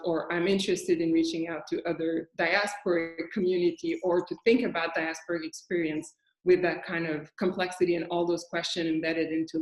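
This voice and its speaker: A woman with a somewhat low voice speaks as a curator in a relaxed discussion. She thinks aloud and stops to find her words. The sound bounces around a reverberant room. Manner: reflective, searching for words. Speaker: curator and guest critic. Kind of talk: casual panel conversation. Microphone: video call. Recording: echoey room.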